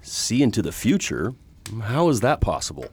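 A man's voice: lowish voice, huge pitch swings, normal speed, a little mellow, slightly rough, full character voice. A man playing a courteous, earnest middle-aged space lawman in a science fiction radio drama. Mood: amazed, puzzled.

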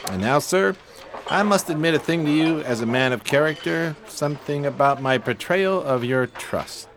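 An actor playing a grandiloquent, gentlemanly homeless con man in a 1920s New York radio play, speaking in florid, over-polite phrases with a pompous theatrical manner. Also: fairly high pitch, lively intonation, moderate pace, noisy background. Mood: courtly, unapologetic.

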